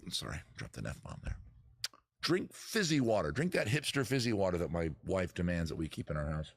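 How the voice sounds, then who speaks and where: A man's voice: low voice, very animated, fairly quick. A man hosting a live music and chat show, rambling casually to viewers in a self-deprecating way and swearing freely. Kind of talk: casual livestream chat with viewers, some improvised singing. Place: at home in the backyard.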